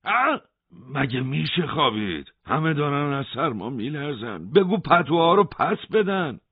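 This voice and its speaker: A man's voice: a little high, sing-song, husky, no echo, narrow, tinny audio, pitched above the performer's own voice, exaggerated voice. A man doing a defiant old cleric prisoner. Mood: demanding. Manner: pleading then threatening, raised voice.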